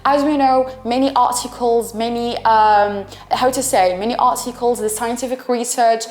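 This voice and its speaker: A woman's voice: fairly high pitch, steady tone, moderate pace, darkish tone. A twenty-one-year-old woman from Algeria, speaking English as an Arabic speaker, answering interview questions hesitantly and often searching for words. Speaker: English student and teacher. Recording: echoey room with faint music.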